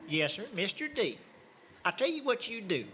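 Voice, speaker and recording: A man's voice: high-pitched, sing-song, normal speed, sharp tone, slight background noise, exaggerated voice. A folksy, stammering small-town guy. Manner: folksy rural dialect, stammering. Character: folksy small-town man, easygoing and talkative. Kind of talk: old-time radio drama.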